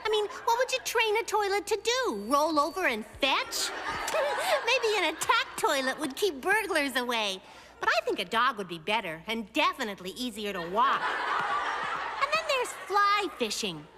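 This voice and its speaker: A woman voicing a quick-witted, chatty, matter-of-fact little girl in a cartoon. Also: high-pitched, sing-song, very noisy. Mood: wry, playful. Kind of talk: cartoon stand-up monologue and dialogue.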